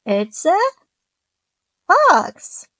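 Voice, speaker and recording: A woman reading a children's picture book aloud, gently stopping to ask her young listeners questions. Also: high voice, wildly swooping pitch, very slow, dry recording. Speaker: children's storyteller.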